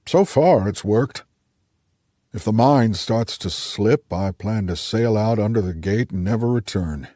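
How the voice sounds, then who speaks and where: A man's voice: lowish voice, unhurried, mellow, dry recording, clean studio sound. A man voicing a cheerful, talkative man of nearly ninety with a wry, genial manner, in an audiobook. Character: spry, cheerful old man near ninety, amateur painter.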